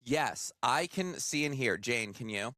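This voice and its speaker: A man hosting a podcast while sick, with a raspy voice. He rambles and apologizes in a casual, self-deprecating way over a video call. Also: medium pitch, expressive, unhurried, bright, forceful tone. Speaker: podcast host. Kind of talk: casual chat.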